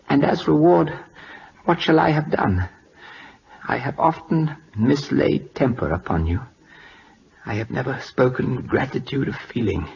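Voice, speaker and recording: Dying proud king, halting and gravelly. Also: on the low side. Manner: halting, broken formal English, imperious. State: weak, breathy, labouring for breath. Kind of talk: 1940s radio drama acting. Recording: dull, noisy old radio recording.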